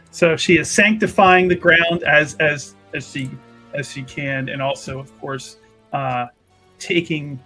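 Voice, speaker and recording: A man's voice: fairly high pitch, fairly even intonation, takes it slowly, pushed and bright, soft background music, poor audio. A man improvising narration of his character's actions in a tabletop roleplaying game, hesitant and wordy with flowery phrasing.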